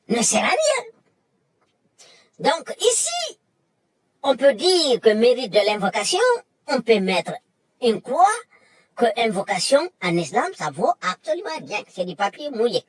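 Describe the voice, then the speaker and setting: A woman's voice: fairly high pitch, breathy edge, dry recording. A woman delivering an emphatic, lecturing monologue that repeats its points. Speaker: commentator. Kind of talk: impassioned monologue.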